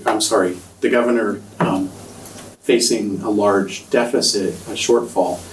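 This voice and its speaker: A soft-spoken man giving a budget presentation at a school board meeting, hesitant with many ums. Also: on the low side. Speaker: school district finance presenter. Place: large meeting room. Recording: echoey room, distant mic, faint noise.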